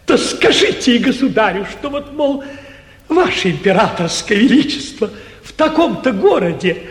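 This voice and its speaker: Earnest, fawning provincial gentleman; high, animated, halting, old theatre recording with hall echo. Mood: humble, earnest. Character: eager, humble, naive provincial busybody gentleman. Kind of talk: theatrical 1940s stage comedy acting. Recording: echoey 1949 theatre radio production.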